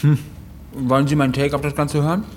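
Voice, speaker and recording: An actor playing a cocky, dry-witted police detective in a radio crime drama, with a glib, flippant manner. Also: male voice, fairly high pitch, flat delivery, quick pace, darkish tone, slight background noise, natural voice. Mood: smug, explaining.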